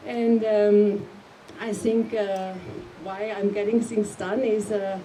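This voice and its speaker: A woman from Austria talks on a panel, friendly and rambling, with plenty of fillers. Her voice is mellow, and she sounds a little distant from the mic, with a faint hum behind her. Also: mid-range voice, little pitch movement, takes it slowly. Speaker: panel guest. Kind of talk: casual panel talk.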